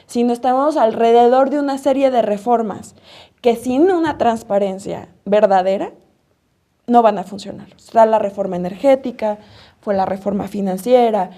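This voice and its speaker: Mexican woman on a panel explaining her view, mellow voice, bit high, deliberate. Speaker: interview guest, rights organization advocate. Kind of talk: explanatory interview answer.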